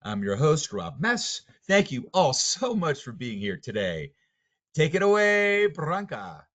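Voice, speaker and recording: A man's voice: fairly high pitch, wildly swooping pitch, unhurried, a bit bright. A man hosting a webinar, briefly introducing the speaker and handing over. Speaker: webinar host.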